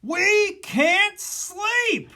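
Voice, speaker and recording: A woman voicing exasperated zoo animals in a children's storybook, yelling loudly in a raised, drawn-out voice. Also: very high voice, steady tone, pitched above the performer's own voice, full character voice. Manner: loud, drawn-out bellowing and yelling. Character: crowd of exasperated, sleepless zoo animals shouting.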